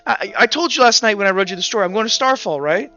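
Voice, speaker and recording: A man voicing a flustered, hurried mother who stammers as she rushes off. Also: sits high, lively intonation, sharp tone, soft background music, voice pushed up. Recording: dull lo-fi recording.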